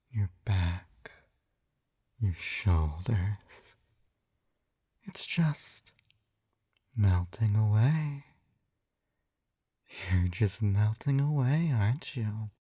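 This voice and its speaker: A man playing a possessive, smugly tender hypnotist captor in an intimate ASMR roleplay, coaxing and teasing in a soft hushed voice that drifts into whispers. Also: fairly low pitch, slightly rough, dry recording, clean studio sound, full character voice.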